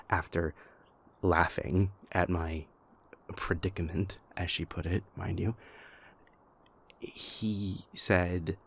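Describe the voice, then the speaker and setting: Male actor as young vampire prince, anxious and sincere, slow stammering delivery, lowish pitch, intimate audio roleplay, exasperated venting. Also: heavily acted. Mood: puzzled, hurt. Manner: halting, stammering, venting, sighing pauses.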